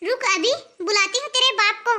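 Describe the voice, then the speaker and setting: A nagging cartoon mother-in-law with an extremely high, childlike pitch. The tone is piercing and bright, and the delivery is sharp, argumentative and exaggerated for family comedy. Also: normal speed. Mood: angry, threatening. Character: scolding, nagging mother-in-law and her cheeky daughter-in-law.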